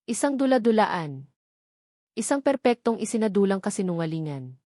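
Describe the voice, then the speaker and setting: A woman delivers scripted dramatic story narration. Her pitch sits in the middle for a female voice. The recording is very dry, without room echo. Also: sing-song, moderate pace, dark tone.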